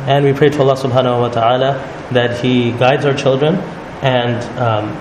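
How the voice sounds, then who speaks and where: A man leading a religious study circle, explaining calmly and often checking in with "right?". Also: medium pitch, normal speed. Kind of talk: religious talk to a group. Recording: echoey hall with noise.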